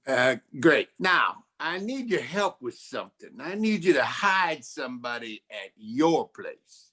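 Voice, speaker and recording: An actor playing a glib, wisecracking 1940s private detective in a campy noir radio play. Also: male voice, mid-range voice, big pitch swings, a little slow, bright, forceful tone, breathy edge, exaggerated voice. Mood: sweet-talking, pleading. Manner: glib, slangy.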